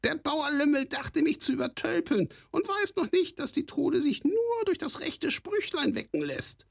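A man's voice: very high-pitched, big pitch swings, a little slow, gravelly, voice pushed up, heavily acted. A man voicing a sly, spiteful little male goblin with a squawking, nasal voice, mocking as he talks. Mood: gloating. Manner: mocking, gloating. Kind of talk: audiobook character voice.

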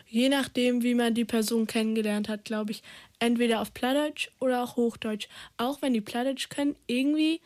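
A woman's voice: fairly high pitch, fairly even intonation, normal speed, a little mellow. A school-age girl talking casually as an interviewee. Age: child or teenager, at school.

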